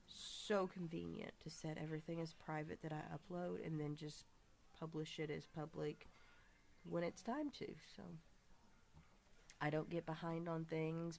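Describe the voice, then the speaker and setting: A woman with a deep, gravelly voice, still raspy from a recent cold. She chats off camera at a home table, rambling with eager restarts and fillers. A faint hiss sits under the recording. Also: level tone, a little slow, a little mellow. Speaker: toy collector vlogger. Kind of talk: casual toy review to camera. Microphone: camera filming hands and toys, speaker off screen.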